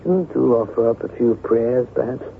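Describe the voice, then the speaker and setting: An actor playing a languid, foppish gentleman friend, slyly insinuating, with a genteel, drawling, musing manner in old-time radio drama. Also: medium pitch, moderate pace, vocal fry, natural voice. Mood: fretful, genteel. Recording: noisy, tinny old radio broadcast.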